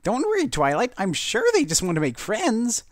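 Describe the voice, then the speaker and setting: Man putting on a high-pitched, perky girl pony. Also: wildly swooping pitch, normal speed, dry recording, studio quality. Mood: nervous hope. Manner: exclaiming, eager, insistent. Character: bubbly, perky female pony, nervously optimistic.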